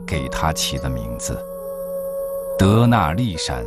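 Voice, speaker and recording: A man narrating a documentary in a calm, measured scripted voice-over. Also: extremely low pitch. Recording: voice-over under loud music.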